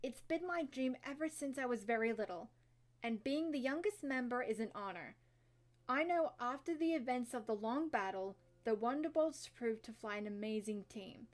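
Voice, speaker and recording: A woman's voice: fairly high pitch, expressive, normal speed, natural voice. A woman playing an eager eighteen-year-old mare in an amateur cartoon fan audio drama, gushing and exclaiming. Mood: excited, proud.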